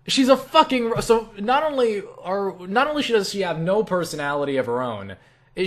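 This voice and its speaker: A young man chatting casually and opinionatedly about games, cursing freely and halting and repeating himself as he rants in exasperation. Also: on the high side. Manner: profane, exasperated ranting, halting and repetitive. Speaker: gaming video creator answering questions. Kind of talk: casual, rambling opinionated chat.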